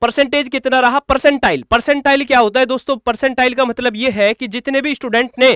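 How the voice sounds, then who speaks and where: A man's voice: very high-pitched, steady tone, fast, fairly bright tone, smooth, no room sound, studio quality. A man giving a step-by-step screen tutorial as a coaching presenter, repeating himself as he instructs viewers. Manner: repetitive, instructional, addresses viewers as friends.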